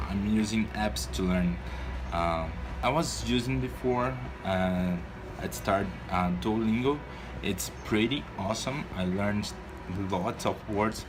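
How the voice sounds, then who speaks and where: A man's voice: lowish voice, noisy background. Hesitant Brazilian man in his twenties. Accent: Brazilian Portuguese. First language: Portuguese. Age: 20s. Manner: hesitant, frequent restarts and fillers, shy. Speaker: vlogger. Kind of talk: casual personal vlog.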